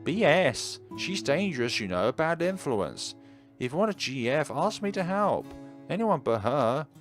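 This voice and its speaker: A man's voice: fairly high pitch, very animated, unhurried, voice pushed up. A man voicing a suspicious, exasperated teenage sister in a visual novel, with an emphatic, scolding delivery. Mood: indignant scolding. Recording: music behind the voice.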